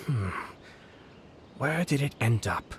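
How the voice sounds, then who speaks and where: An actor playing a composed noble official in a video game, speaking in a calm, measured, formal way. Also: male voice, medium pitch, heavy background noise.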